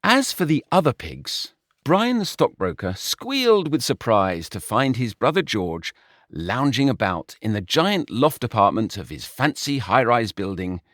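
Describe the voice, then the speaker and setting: A man's voice: medium pitch, big pitch swings, unhurried, fairly bright tone, dry recording. A man reading a comic short story aloud in a wry, dry storytelling manner. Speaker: narrator. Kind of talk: storybook narration.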